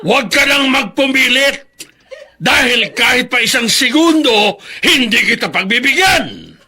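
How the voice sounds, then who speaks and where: Radio drama casting: unforgiving elderly patriarch, high-pitched, rough-edged voice, harsh and scolding. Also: male voice, sing-song, unhurried, sharp tone, full character voice. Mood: furious. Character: stern, unforgiving, jobless grandfather, older angry father. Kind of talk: Filipino radio drama acting.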